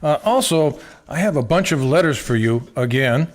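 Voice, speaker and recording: A man playing a diligent presidential aide and speechwriter in live radio theater, deferential and deliberate. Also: a little high, animated, lightly acted. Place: live stage before a studio audience in a church.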